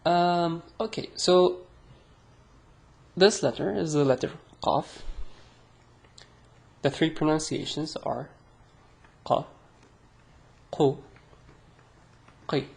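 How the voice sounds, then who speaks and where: A man's voice: a little high, creaky, darkish tone. A young man with an Arabic accent, a native Arabic speaker, giving a chatty, self-deprecating online pronunciation lesson. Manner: slow, chatty, self-deprecating, drawn-out sounds. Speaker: language teacher. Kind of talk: casual online language lesson.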